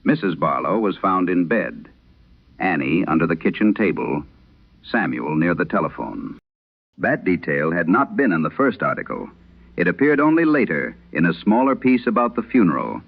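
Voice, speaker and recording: A man narrating a scripted vintage radio horror tale in the first person, in a grave, measured, gravelly voice. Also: low-pitched, sing-song. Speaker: narrator of a horror audio drama. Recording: muffled, band-limited vintage radio sound.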